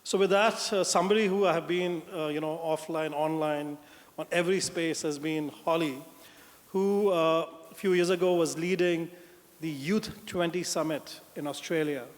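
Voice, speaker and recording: A man's voice: on the high side, fairly even intonation, moderate pace. A man from India moderating a panel, introducing speakers with effusive, long-winded praise. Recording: echoey hall.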